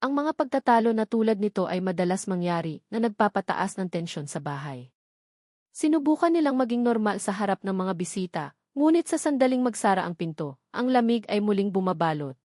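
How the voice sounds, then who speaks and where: A woman narrates a scripted romantic drama in a medium-pitched voice. The recording is very dry and close, with the measured delivery of a story reader. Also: sing-song, darkish tone, no room sound.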